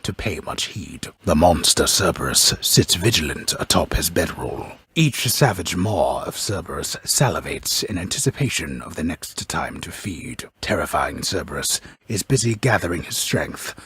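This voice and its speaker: A man playing a grave, pompous storyteller in a mythic video game, narrating deliberately with wry, deadpan solemnity and a gravelly voice. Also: deep voice, dry recording, heavily acted. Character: grave, pompous old storyteller narrating Greek myth, wryly ominous. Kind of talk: scripted dramatic storybook narration.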